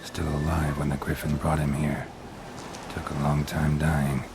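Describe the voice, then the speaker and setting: An actor playing a grim, stoic middle-aged monster hunter, speaking in terse, clipped, deadpan lines for a game cutscene. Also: male voice, extremely low pitch, a little slow, noisy background. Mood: investigating. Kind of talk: video game cutscene acting. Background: orchestral game score.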